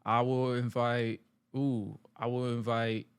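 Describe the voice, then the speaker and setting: A man's voice: medium pitch, little pitch movement, slow pace, smooth, no echo, studio quality. A man in his late twenties co-hosting a podcast, chatting casually and joking bluntly with slangy asides. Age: 20s. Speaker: podcast co-host. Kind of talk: casual chat.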